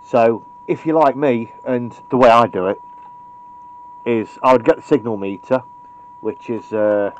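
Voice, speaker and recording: A man's voice: mid-range voice, unhurried, slight background noise. Blunt British man, dull lo-fi sound. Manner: blunt, opinionated. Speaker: radio repair hobbyist presenting a tutorial. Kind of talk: informal hands-on tutorial. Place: indoors at a cluttered electronics workbench. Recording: dull lo-fi camera microphone.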